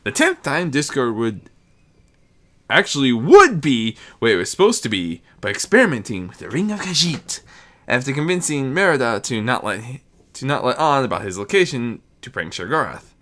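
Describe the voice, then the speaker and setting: A storyteller reading fiction aloud in an animated, swooping way, with occasional stumbles over the words. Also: mid-range voice, normal speed. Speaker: narrator. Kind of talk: scripted narration, fan fiction reading.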